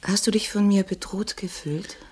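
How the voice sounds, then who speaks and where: An actress playing a guarded, wounded young woman in a radio crime drama, speaking in a hushed, intimate, probing way. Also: medium pitch, normal speed, husky, close to an everyday voice. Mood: hurt, probing.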